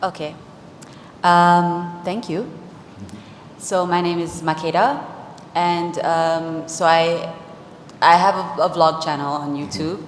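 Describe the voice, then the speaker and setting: Low-voiced woman. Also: fairly even intonation, takes it slowly, long pauses. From Jamaica. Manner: open, personal, many fillers. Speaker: vlogger and DJ. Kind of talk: panel discussion. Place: large conference hall on stage. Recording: echoey hall with faint noise.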